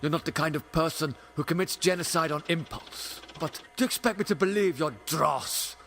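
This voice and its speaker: A man's voice: a little high, moderate pace, a bit bright, a little hiss or hum. A man playing an argumentative alien time-traveller hero in an audio drama, impassioned, sardonic and lecturing. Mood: sardonic, indignant. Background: dramatic music.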